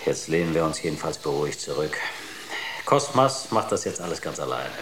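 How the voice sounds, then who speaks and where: A breezy space crewman with a low voice, talking informally and cheekily to his mates in a radio drama. The room echoes and there is clear noise behind him. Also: expressive, brisk, a bit bright. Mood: breezy, teasing. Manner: informal, teasing, chatty. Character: breezy, girl-crazy male spaceship programmer, cheeky joker. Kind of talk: German radio drama acting. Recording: roomy, noisy radio drama sound.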